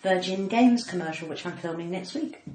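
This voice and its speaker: A woman's voice: on the low side, normal speed, darkish tone, slight background noise. Older posh english lady, cut-glass accent, chatty and teasing, bit theatrical, echoey room. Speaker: guest comedian and actor. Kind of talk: chatty podcast interview.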